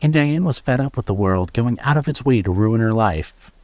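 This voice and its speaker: A man's voice: mid-range voice, expressive, normal speed, a little mellow, dry recording. A man narrating a scripted horror story as the storyteller of an audio drama.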